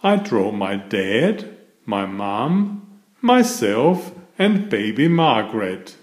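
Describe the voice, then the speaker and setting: A man reading aloud in a deliberate, expressive children's storytelling style, as a narrator and host. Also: lowish voice. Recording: roomy, echoing room sound.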